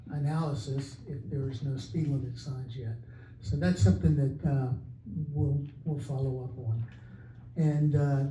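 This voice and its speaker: A man with a fairly high but very dark, mellow voice speaks haltingly, leaning on fillers. He is heard from far off in an echoey hall. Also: a little hiss or hum. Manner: halting, many filler words. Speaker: neighborhood association alternate. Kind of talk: public meeting statement. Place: meeting hall.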